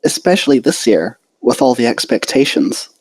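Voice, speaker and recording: A man voicing a shy, timid female pegasus pony with hesitant, soft-spoken delivery. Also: mid-range voice, very animated, normal speed, husky, no echo, pitched above the performer's own voice.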